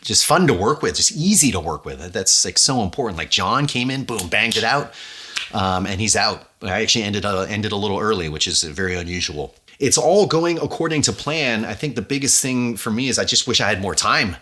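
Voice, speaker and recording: A man speaking casually and effusively as an audio drama director, halting as he finds his words. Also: fairly low pitch, expressive, sharp tone. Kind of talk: casual, unscripted behind-the-scenes talk.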